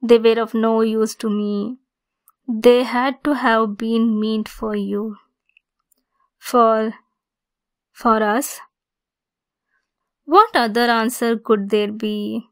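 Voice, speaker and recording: Slow, high-voiced possessive centaur woman, acted by a woman. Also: steady tone. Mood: wistful, obsessive. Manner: slow, deliberate, insistent, clingy. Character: possessive yandere female centaur, lonely, obsessive, domineering. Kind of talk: ASMR roleplay monologue.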